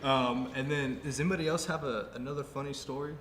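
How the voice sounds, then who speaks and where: A man's voice: mid-range voice, fast talker. A man hosting a casual round-table interview, asking questions with lots of fillers. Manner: relaxed, filler-heavy questioning with frequent laughs. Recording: echoey room with background noise.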